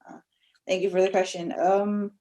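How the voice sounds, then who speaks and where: A young woman from Tonga, a guest on a podcast, speaking softly and with feeling. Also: medium pitch, little pitch movement, normal speed. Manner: soft-spoken, emotional, laughs often. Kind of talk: casual interview.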